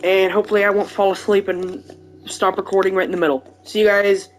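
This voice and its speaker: A man's voice: high voice, little pitch movement, quick pace, soft background music, low-quality recording. A man casually chatting as he introduces his video to viewers. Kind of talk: casual vlog chat.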